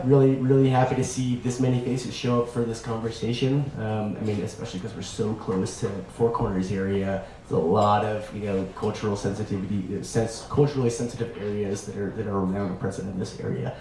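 A man's voice: on the low side, fairly even intonation, fairly quick, mellow, a little hiss or hum. A man introducing himself on a panel in a friendly, casual way with many fillers. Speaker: climbing guide, panelist. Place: event venue with audience. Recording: echoey room, mic at a distance.

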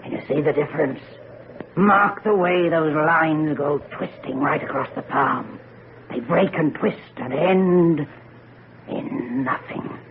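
An actress playing an old country herbalist and fortune-teller, ominous and sharp-tongued, with rustic old-fashioned speech in a radio drama. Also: female voice, low voice, slow pace. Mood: stern, foreboding. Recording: noisy, tinny old radio recording.

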